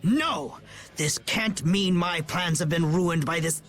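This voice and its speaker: High-pitched pompous scheming villain. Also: male voice, slow, a bit bright. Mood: shocked, indignant. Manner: theatrical, declamatory, indignant. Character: scheming pompous older male villain, a councilman. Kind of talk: video game voice acting.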